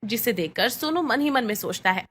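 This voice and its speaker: A woman's voice: fairly high pitch, animated, fairly quick, a bit bright, slightly rough. A woman reading scripted bedtime story narration.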